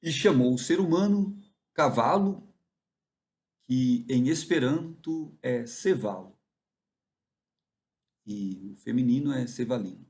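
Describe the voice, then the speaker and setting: A man's voice: medium pitch, lively intonation, a little mellow. A rambling, musing Brazilian man. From interior of São Paulo, Brazil. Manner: meandering, musing, often pausing mid-thought. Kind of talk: rambling reflective monologue.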